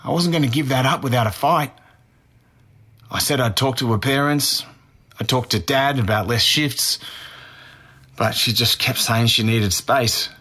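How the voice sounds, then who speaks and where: A man's voice: mid-range voice, measured pace, long pauses, pushed and bright. A man acting as a defensive Australian murder suspect in his twenties, speaking earnestly in blunt slang in an interview-style audio drama. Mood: earnest, pained. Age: 20s.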